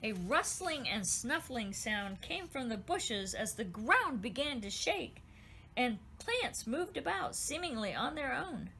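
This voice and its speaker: A woman's voice: fairly high pitch, measured pace, creaky, slight background noise, poor audio. Woman reading a storybook. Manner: gentle, expressive storytelling. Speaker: author reading her book. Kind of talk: storybook read-aloud.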